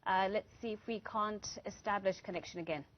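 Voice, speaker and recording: A woman news anchor putting formal, measured questions to a guest and steering the interview. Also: mid-range voice, fairly even intonation, highs cut off.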